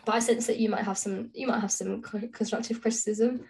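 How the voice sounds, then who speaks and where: A woman's voice: mid-range voice, fairly even intonation, a little fast, vocal fry, darkish tone. A woman co-hosting a podcast, talking through books casually and informally in chatty, rambling explanations. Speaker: podcast co-host. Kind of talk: casual book discussion chat.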